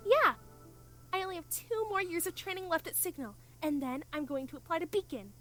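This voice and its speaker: A woman's voice: very high-pitched, brisk. A woman voicing an eager, bubbly teenage girl huntress-in-training who gushes and rambles in a cartoon fan dub. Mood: eager, rambling. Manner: gushing, rambling, excitable. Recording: fan dub playback with music behind. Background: background music.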